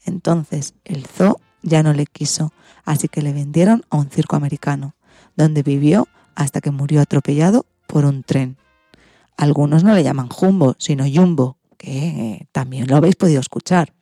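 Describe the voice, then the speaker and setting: Radio host, woman, Spain accent. Low, gravelly-edged delivery of scripted segments, dry close sound. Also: moderate pace, mellow, dry recording, faint music behind.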